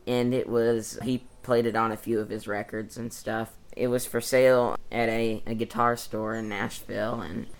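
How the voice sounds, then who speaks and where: A man's voice: lowish voice, little pitch movement, normal speed, few pauses. A fourteen-year-old male musician, chatting casually as an interview guest, modest and enthusiastic. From East Tennessee, United States. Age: teenager, 14.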